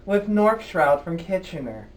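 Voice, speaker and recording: A man's voice: high voice, big pitch swings, a little slow, recorded from a distance, a crowd in the background, low-quality recording. A man hosting a live college radio show in the studio, interviewing a band in a playful, flustered, laughing way.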